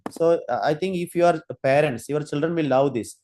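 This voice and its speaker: A man giving an online training lecture, halting, with many fillers and restarts while he demonstrates. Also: on the high side, a little mellow, slight background noise. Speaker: guest lecturer.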